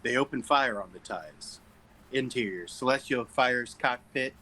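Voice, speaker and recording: Bright-voiced man narrating a script. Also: medium pitch, unhurried, low-quality recording. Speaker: narrator reading stage directions. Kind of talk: script narration at a table read.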